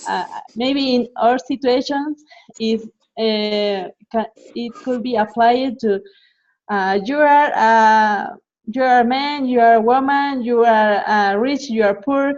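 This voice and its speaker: A woman's voice: on the high side, slow pace, darkish tone. Hesitant woman from Mexico, dull audio. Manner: hesitant, many uh fillers, restarts. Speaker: student in group discussion. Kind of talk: casual discussion.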